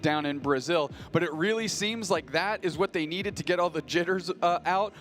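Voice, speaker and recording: Co-caster, man, slightly high voice, excited rapid hype, faint music. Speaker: esports co-caster. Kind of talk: color commentary.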